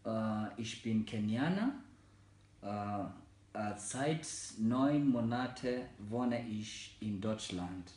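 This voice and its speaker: Kenyan-born man, 20s, low-voiced language learner, very slow and hesitant, echoey room. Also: a little mellow. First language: Mijikenda and Swahili. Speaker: German learner in a practice interview. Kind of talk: slow, halting learner German with many fillers.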